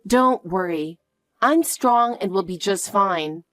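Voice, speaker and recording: A woman playing a calm, protective mother who speaks softly and reassuringly to her daughter. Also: medium pitch, no room sound. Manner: soft, slow, reassuring. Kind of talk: dramatic dialogue.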